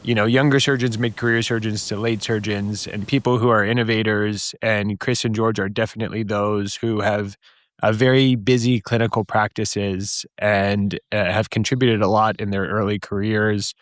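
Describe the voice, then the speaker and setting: A man's voice: lowish voice, level tone, moderate pace, no echo, studio quality. A man hosting a podcast, talking casually as he introduces and questions his guests. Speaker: podcast host. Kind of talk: casual interview talk.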